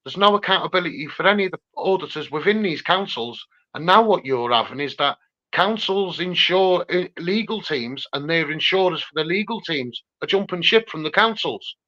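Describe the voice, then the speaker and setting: A man's voice: on the high side, expressive, normal speed, pushed and bright. A man with a Scouse accent giving an impassioned, insistent campaigner's talk full of rhetorical repetition. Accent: Scouse (Liverpool). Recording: slightly dull, lo-fi sound.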